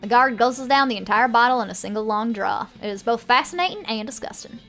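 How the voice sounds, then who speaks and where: High-voiced woman streamer, put-on Southern accent. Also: fast talker, a bit bright, faint music behind. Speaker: game streamer. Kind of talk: casual live game commentary, reading game text aloud.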